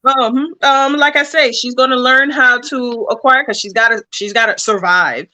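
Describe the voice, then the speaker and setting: A woman's voice: a little high, steady tone, normal speed, sharp tone, low-quality recording. A woman speaking casually as a creator being interviewed about their work.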